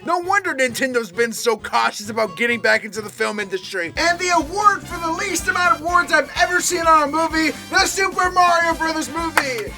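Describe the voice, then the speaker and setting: Man, extremely high pitch, forceful bright tone, sarcastic comedy review host, slangy, exaggerated, music behind. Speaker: video host, movie reviewer.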